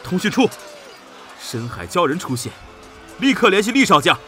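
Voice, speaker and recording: A man's voice: high voice, very animated, normal speed, bright, forceful tone, soft background music, exaggerated voice. A man playing a proud, brooding young military officer in an intense, dramatic radio drama style. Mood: alarmed, furious. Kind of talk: Chinese radio drama acting.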